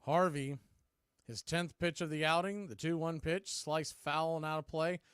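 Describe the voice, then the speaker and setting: A male American baseball announcer gives live play-by-play. His voice is smooth and resonant, slightly high for a man, and recorded dry with very little room around it. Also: steady tone, normal speed, fairly bright tone, dry recording.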